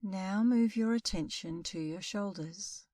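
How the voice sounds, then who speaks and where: A middle-aged woman leading a guided meditation as an instructor, speaking in a calm, soothing, unhurried way with long pauses. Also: mid-range voice, no echo, studio quality, distant mic.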